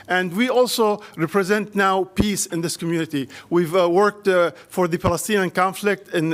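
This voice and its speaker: A man giving impassioned, halting remarks at the podium of a city council chamber, with frequent restarts. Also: sits high. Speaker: community representative at public comment.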